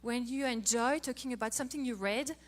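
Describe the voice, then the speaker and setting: A middle-aged woman from France with a French accent, giving a playful, animated talk on marriage as a co-host. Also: fairly high pitch, brisk. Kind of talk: casual talk to an audience.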